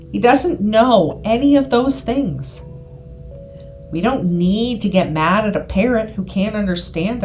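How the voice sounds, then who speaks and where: Woman, hypnotherapist, unhurried scripted delivery, soothing, deliberate pauses, soft music bed. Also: medium pitch. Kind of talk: scripted guided hypnosis narration. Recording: voice over background music. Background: background music.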